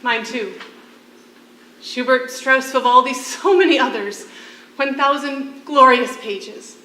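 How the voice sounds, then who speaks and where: A woman acting in a stage play as a defiant condemned prisoner and devoted mother, speaking in earnest, deliberate phrases. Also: high-pitched, bright, forceful tone, exaggerated voice. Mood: tender. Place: theatre stage, live performance. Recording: echoey stage recording with faint noise.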